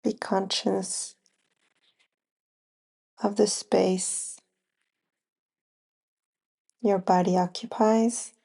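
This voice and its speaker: A woman guiding a yoga nidra relaxation, speaking in short, unhurried phrases with long pauses between them. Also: mid-range voice, steady tone, gravelly, dark tone. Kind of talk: slow guided relaxation meditation.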